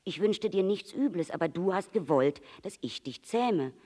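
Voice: mid-range voice, expressive, normal speed, mellow, big-room sound, pitched above the performer's own voice, close to an everyday voice. A storyteller voicing an innocent, questioning little boy prince in a simple, wondering, direct manner. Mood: reproachful. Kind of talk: storybook character reading.

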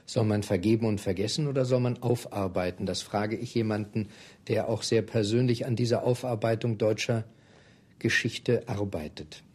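Male interviewer, fairly deep and husky, measured, courteous, formal delivery of prepared questions. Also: fairly even intonation, a little mellow. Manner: measured, polite, formal address.

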